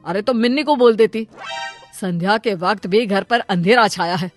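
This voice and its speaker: A woman voicing an exasperated, practical middle-aged father who scolds briskly in a Hindi cartoon moral story. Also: mid-range voice, soft background music, pitched below the performer's own voice, lightly acted. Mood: scolding.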